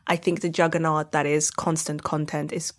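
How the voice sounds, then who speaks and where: Slightly low-voiced woman, conversational podcast guest. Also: little pitch movement, moderate pace. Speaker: podcast guest, audio drama maker. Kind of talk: conversational interview.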